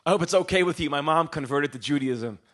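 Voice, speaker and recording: A man from Israel giving a live lecture as a storyteller, posing rhetorical questions and pausing for dramatic emphasis. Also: fairly high pitch, a bit bright. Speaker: public speaker, lecturer.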